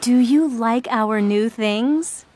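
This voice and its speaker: A woman voicing a friendly young teacher who speaks patiently in an animated children's story. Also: on the high side, slow pace, noisy background.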